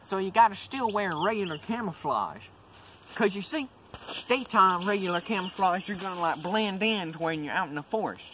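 A man's voice: high-pitched, lively intonation, normal speed, bright, forceful tone. A young man hosting a casual, folksy instructional video, explaining things informally to the camera. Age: young adult. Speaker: host. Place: outdoors in woodland. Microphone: handheld camera a few metres away. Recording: outdoor ambient noise, camera mic.

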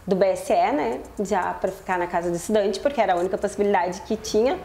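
A woman from southern Brazil, a journalism student speaking relaxed in an interview. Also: mid-range voice, fast talker. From Porto Alegre, Brazil. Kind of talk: interview testimony.

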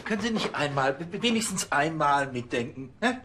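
An actor playing a fussy, exasperated police chief, stammering and pedantic in a TV police comedy. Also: male voice, a little high, lively intonation, normal speed, noisy background, lightly acted.

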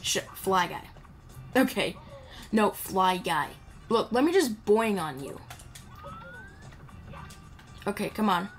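A woman's voice: mid-range voice, expressive, takes it slowly, pauses often. A woman giving casual gameplay commentary, rambling playfully and chatting to the characters on screen. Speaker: gamer playing and commenting. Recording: game music behind the voice. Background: video game music.

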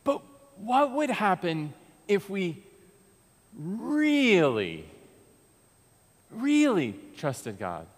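An American man in his thirties delivers a sermon from the pulpit with an earnest, conversational manner. His voice sits fairly high and moves with lively swings of pitch, and he takes his time. The sanctuary adds a long reverb behind his words. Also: extremely slow, pauses often. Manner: earnest and conversational, with frequent restarts and gestures. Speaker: pastor. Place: large church sanctuary. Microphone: pulpit mic, far camera.

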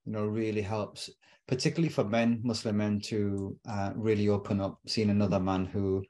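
Man in his 50s, lowish voice, thoughtful, repeats words as he goes, video call from home. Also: steady tone, normal speed, warm tone. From Britain. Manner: reflective, repeats words while searching for phrasing. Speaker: relationship coach guest. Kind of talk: casual interview talk. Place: home room with bookshelves.

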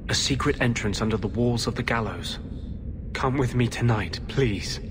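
A man's voice: mid-range voice, normal speed, noisy background, lightly acted. An actor playing an earnest, bitter rebel mage in his thirties in a fantasy game, speaking intensely. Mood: earnest, urgent.

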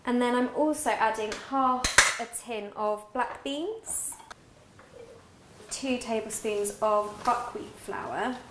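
A woman's voice: on the high side, slow, background noise. Upbeat young British woman cooking, roomy kitchen. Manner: upbeat, chatty, talks while cooking. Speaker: cookbook author and guest cook. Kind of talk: cooking demonstration chat. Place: bright home kitchen. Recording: roomy kitchen with music behind.

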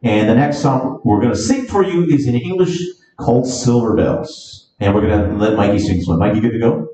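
A man's voice: mid-range voice, lively intonation, brisk, warm tone. Upbeat man hosting in an echoey hall. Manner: upbeat, chatty, announcing songs. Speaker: event host and club president. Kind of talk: casual stage hosting between songs. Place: large club hall with holiday decorations. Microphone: far camera in the hall. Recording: echoey hall from far off, live music. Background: live band music.

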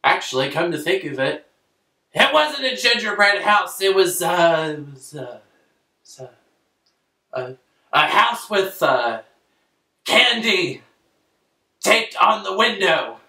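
A man's voice: high-pitched, very animated, slow pace, pauses often, sharp tone, far from the mic. A young man telling a comic bedtime story as a crass, theatrical father, recorded in an echoey bedroom. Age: young adult. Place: small bedroom, indoors. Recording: echoey room, off-mic.

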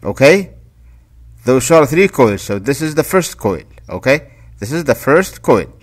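Slow-paced man giving step-by-step instructions. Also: medium pitch, sing-song, husky. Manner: step-by-step, repeats instructions, checks with "okay?". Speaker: DIY electronics instructor. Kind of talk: instructional tutorial narration.

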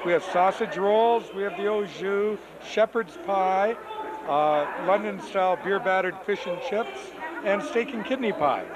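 A man working as a chef, talking in a relaxed, casual way as he lists the dishes at a banquet. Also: sits high, unhurried, a crowd in the background. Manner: relaxed, listing items. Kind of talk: casual chat, describing dishes. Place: indoor banquet hall at a party. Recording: noisy camcorder audio at a party.

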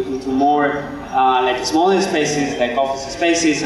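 A man in his thirties from Spain with a Spanish accent, giving an informal lecture in halting phrases over a handheld microphone. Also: on the high side. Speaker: guest lecturer, architect and educator. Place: open-sided stage before an audience. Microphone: handheld microphone through a PA. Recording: very echoey, distant from the microphone.

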